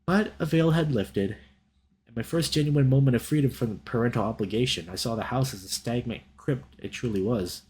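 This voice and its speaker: A man reading a visual novel aloud as a let's play host, in an expressive, dramatic storytelling style with casual reactions. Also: medium pitch, moderate pace. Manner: animated, dramatic reader.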